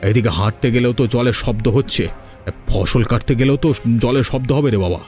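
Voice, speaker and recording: A man's voice: mid-range voice, quick pace, a little mellow, faint music behind, lightly acted. A man voicing a patronising, grumbling boss of crop thieves in a cartoon. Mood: furtive, grumbling. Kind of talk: Bengali cartoon voice acting.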